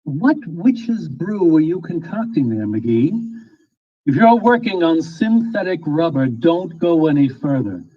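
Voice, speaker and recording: An actor playing a pompous, easily flustered small-town mayor in old-time radio comedy style, wordy and prone to stammering. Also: male voice, on the high side, very animated, measured pace, husky, dull audio, full character voice.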